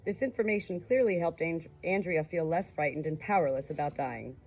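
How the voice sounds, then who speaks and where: A woman narrating a memoir aloud in an expressive, emotive way. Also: sits low, brisk, husky, poor audio. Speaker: audiobook reader.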